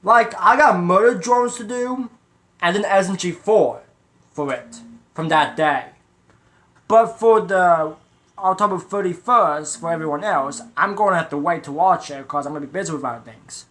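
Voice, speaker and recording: Guy doing a reaction vid, kinda high bright voice, rambles and repeats himself talking to the viewers. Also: normal speed. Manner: rambling, repetitive, addresses viewers directly. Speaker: reaction video host. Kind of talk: casual trailer reaction chat.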